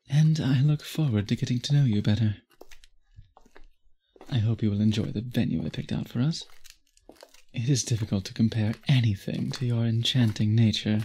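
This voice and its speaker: A man playing an obsessed, courtly gentleman vampire in an intimate audio roleplay, speaking formally and deliberately to the listener. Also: mid-range voice, slightly rough. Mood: courtly, charmed. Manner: formal, flowery, measured speech with deliberate pauses. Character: obsessed, courtly gentleman vampire suitor, possessive yet restrained. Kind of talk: intimate scripted ASMR roleplay, addressed to listener.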